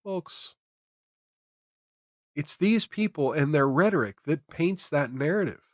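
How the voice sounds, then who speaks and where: A man's voice: fairly high pitch, lively intonation, slow pace, no room sound, clean studio sound. An American man hosting a political commentary stream, speaking emphatically and repeating phrases for effect. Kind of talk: opinionated political commentary to camera.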